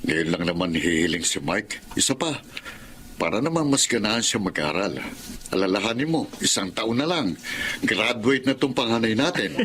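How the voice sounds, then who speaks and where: A man's voice: on the low side, lively intonation, moderate pace, a bit bright. A man acting a humble, grateful middle-aged working father in a Tagalog radio drama, with a Filipino accent. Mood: kindly, indulgent.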